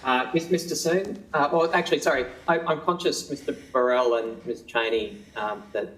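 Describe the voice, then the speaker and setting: A man's voice: mid-range voice, moderate pace, fairly bright tone. A man chairing a parliamentary committee hearing, informal and joking with members. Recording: echoey committee room.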